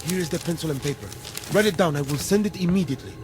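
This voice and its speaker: An actor playing a fussy, grumbling, excitable village telegraph clerk in a radio drama. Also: male voice, a little high, fast, over music, lightly acted. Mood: anxious, curious.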